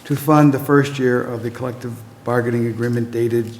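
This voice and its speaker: A man reads formal articles aloud at a committee meeting in a flat monotone that barely rises or falls. The room is echoey with a long reverb, and there is a faint hiss underneath. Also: mid-range voice, normal speed. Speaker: committee member reading articles.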